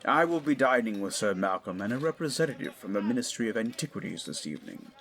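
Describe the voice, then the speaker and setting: An actor playing a learned, eager 1920s English archaeologist and father in an audio drama, middle-aged, speaking in a formal, professorial, deliberate way. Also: on the low side, big pitch swings, gravelly, a bit bright, exaggerated voice. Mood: businesslike, eager. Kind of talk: scripted audio drama acting.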